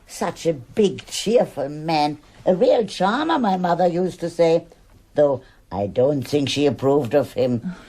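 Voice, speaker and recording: A woman's voice: low-pitched, lively intonation, unhurried, mellow, breathy edge, full character voice. An actress playing a stubborn, judgmental older woman in a radio drama. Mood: surprised, chatty. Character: stubborn, defensive old German woman, gossipy neighbour.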